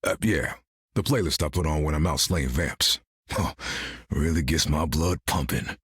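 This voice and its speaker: An actor playing a gruff, wry half-vampire swordsman in a video game, with a gravelly, terse delivery. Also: moderate pace, a bit bright, no echo, studio quality, exaggerated voice. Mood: cool, wry. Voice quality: gravelly, very deep.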